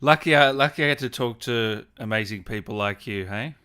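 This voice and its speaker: Bright-voiced Australian man hosting. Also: mid-range voice, a little fast, clean tone. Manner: easygoing, reassuring, complimentary. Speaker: interviewer, podcast host. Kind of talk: casual friendly interview chat.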